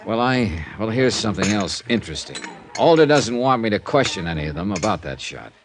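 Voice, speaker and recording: An actor with an American accent playing a tough, wry insurance investigator in a golden age radio detective drama, with a clipped, sardonic manner. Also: male voice, fairly low pitch, very animated, fairly quick, slight background noise. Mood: dry, businesslike.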